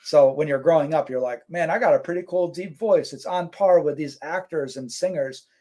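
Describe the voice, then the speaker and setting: A man's voice: a little high, moderate pace. A man co-hosting a casual live stream, reading out chat comments and rambling with lots of fillers. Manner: relaxed, rambling, many fillers.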